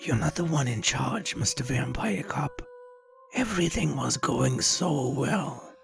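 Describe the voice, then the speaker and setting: A man voicing a gloating male villain who whispers in a gravelly voice and taunts in a smug, drawn-out way. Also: mid-range voice, expressive, pitched above the performer's own voice.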